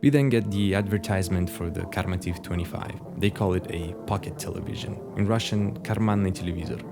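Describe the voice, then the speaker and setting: A man's voice: low voice, a little fast, over loud music. A man giving scripted commentary as the narrator of a horror video essay.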